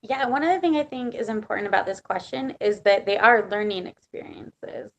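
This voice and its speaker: A woman panelist on a webinar call, speaking in a thoughtful, measured way about her early career. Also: mid-range voice, gravelly, a bit bright. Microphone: video call.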